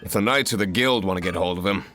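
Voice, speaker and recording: A man playing a gruff, blunt, no-nonsense blacksmith in an English game dub, curt and brusque. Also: low-pitched, fast, a bit bright, faint music behind.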